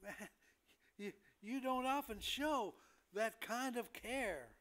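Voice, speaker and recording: A man's voice: very high-pitched, lively intonation, slow pace, a bit bright, full character voice. An older man playing a tired, complaining hospital patient in a staged comedy reading, hesitant and plaintive.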